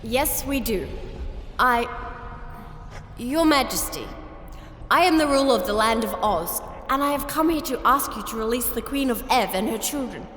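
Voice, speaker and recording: An actress playing an earnest, somewhat timid young princess who speaks formally in a fantasy audio drama. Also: female voice, a little high, lively intonation, moderate pace, fairly bright tone, slight background noise. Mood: nervous formality. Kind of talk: full-cast fantasy audio drama acting. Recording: echoey hall, music underneath.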